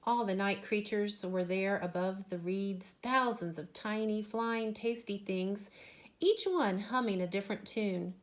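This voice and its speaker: A woman storyteller reads a children's picture book aloud. Her pace is very slow and deliberate, with a medium-pitched voice and expressive, measured phrasing.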